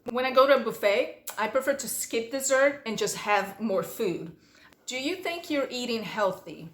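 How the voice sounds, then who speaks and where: A woman's voice: fairly high pitch, animated, moderate pace, echoey room. A woman whose first language is Portuguese, reading questions aloud and answering them in a chatty, storytelling way for her vlog. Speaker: vlogger. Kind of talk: casual Q&A vlog.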